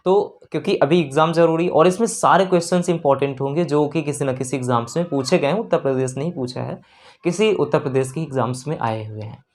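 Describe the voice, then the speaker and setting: A man's voice: fairly high pitch, fast, a little mellow. A man teaching an exam-prep lesson step by step, repeating phrases and mixing in English terms. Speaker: maths teacher.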